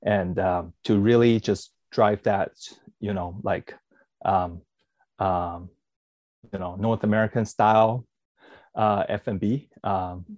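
A man's voice: fairly low pitch, fairly even intonation, slow pace, a little mellow, no echo, dull audio. A man answering interview questions in a hesitant way, with many fillers and restarts. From born in Taiwan, raised in Canada. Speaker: podcast guest, food company executive.

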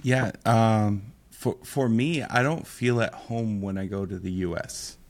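A man raised in Mexico, a missionary pastor, talking in a relaxed, joking way in a casual podcast conversation. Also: fairly low pitch, unhurried. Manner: relaxed, joking, repeats words.

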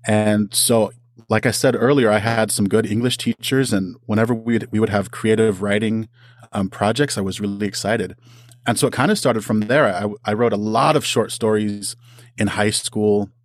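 A man's voice: on the low side, fairly even intonation, normal speed, dry recording. A man talking casually as an interview guest and writer, with a self-deprecating, good-humoured way of speaking. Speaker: audio drama writer.

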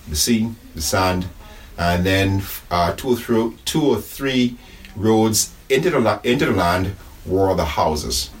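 Priest, calm and measured. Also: male voice, low voice, distant mic. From Bahamas. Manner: measured, thoughtful, with pauses and restarts. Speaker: Anglican priest, interview guest. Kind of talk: reflective conversational interview. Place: church office.

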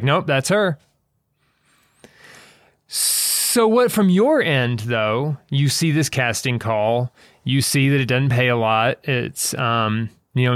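A man hosting a casual interview, feeling his way haltingly into his questions. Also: mid-range voice, dry recording, studio quality. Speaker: interviewer, show creator and director.

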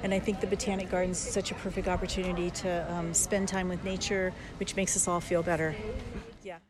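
A woman's voice: lowish voice, little pitch movement, a little fast. A woman, a chief executive, answering informally in an outdoor interview. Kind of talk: spontaneous spoken interview. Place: outdoors at a garden event. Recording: outdoor interview with busy crowd behind.

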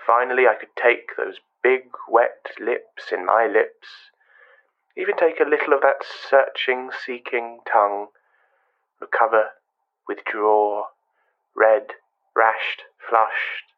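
A wistful yet controlling man of a radio play, talks slowly in measured fragments with quiet menace. His voice is on the low side and the sound slightly dull. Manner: measured, fragmented, repetitive phrasing. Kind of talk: radio play monologue and dialogue.

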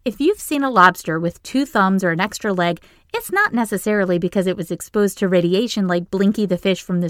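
A woman's voice: mid-range voice, a bit bright, no room sound. Woman, Maine accent, podcast host, scripted comic narration, playful asides, dry close mic.

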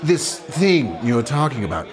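An actor playing an earnest young playwright in a comic podcast play, hesitant, incredulous and protesting. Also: male voice, mid-range voice, big pitch swings, measured pace, a crowd in the background. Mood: confused, hesitant.

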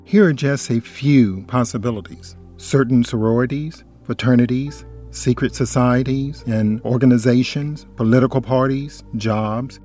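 A man with a mellow, darker tone reads a scripted Bible study as if on radio. His delivery is earnest and admonishing, pressing the listener with pointed questions. Soft music plays quietly underneath. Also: mid-range voice, measured pace, hardly any pauses. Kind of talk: scripted religious narration.

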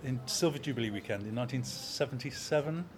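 A man in his sixties, an opera company manager, talking in a measured, anecdotal way in an interview. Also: mid-range voice. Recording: crowd chatter in background.

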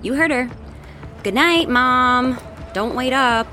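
A woman's voice: high voice, slow pace, music in the background. An actress playing a defiant young woman in a horror audio drama, sarcastic and dismissive. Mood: sarcastic, irritated. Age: young adult.

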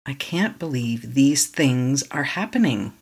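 A meditation guide leading a tapping session, speaking slowly and calmly in short affirmations for the listener to repeat. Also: medium pitch, husky. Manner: calm, slow, repeating affirmations to be echoed back.

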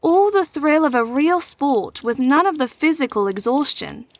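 Audio drama mentor: woman with a notably high voice, formal and measured, poised and gently probing. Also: expressive, a little hiss or hum. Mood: dramatic, musing. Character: poised, probing female mentor and chess player. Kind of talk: scripted audio drama acting.

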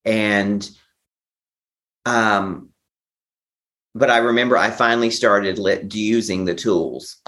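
Deep-voiced man telling his story haltingly. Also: fairly even intonation, fairly bright tone. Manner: halting, repeats and restarts phrases. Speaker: interview guest. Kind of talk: personal recovery storytelling.

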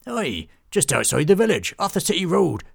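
A man doing a hearty, gruff village barman. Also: sits high, sing-song, moderate pace, fairly bright tone, no room sound, clean studio sound, voice pushed up, full character voice. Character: chatty male village barman, gruff and friendly.

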